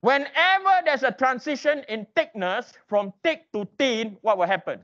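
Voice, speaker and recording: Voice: high voice, lively intonation, moderate pace, fairly bright tone, muffled recording. An engineering lecturer teaching interactively in a classroom, quizzing students with emphatic, repeated questions and prodding them for answers.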